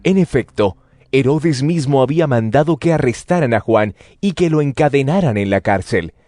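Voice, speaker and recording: A man narrating scripture as a dramatized story, with expressive storytelling delivery. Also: mid-range voice, moderate pace, no echo. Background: music.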